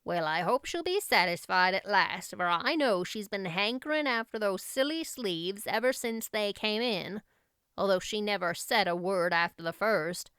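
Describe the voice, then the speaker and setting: A woman's voice: mid-range voice, lively intonation, moderate pace, fairly bright tone, clear, resonant tone, dry recording, lightly acted. Woman as a stern, stiff, thrifty spinster. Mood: scolding, exasperated. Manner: stiff, dry, scolding.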